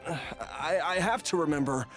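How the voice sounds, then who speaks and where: A man voicing a frail, devoted sick man in a video game, halting and emotional. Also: fairly high pitch, close to an everyday voice. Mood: pleading. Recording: clear music behind the voice.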